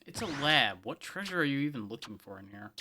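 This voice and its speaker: A man's voice: mid-range voice, very animated, fairly quick, background noise. A man giving casual, wry gameplay commentary as a streamer, in an offhand way. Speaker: game streamer. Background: game music.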